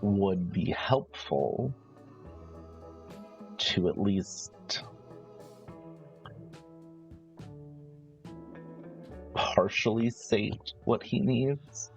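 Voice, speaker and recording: A man plays a calculating brother in a 1950s horror roleplay game. His voice is very low and gravelly, and he lays out his words deliberately, in halting phrases. The sound is slightly dull, with music underneath. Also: exaggerated voice. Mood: grave, reasoning. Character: calculating adult brother from a ruthless 1950s family. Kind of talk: improvised in-character tabletop roleplay. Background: background music.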